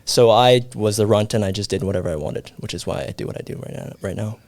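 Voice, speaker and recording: Relaxed young man, dry close sound. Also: fairly low pitch, fast, dry recording. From Hong Kong. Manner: relaxed, chatty, many fillers. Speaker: guest, production company founder. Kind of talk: casual interview. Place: video podcast studio.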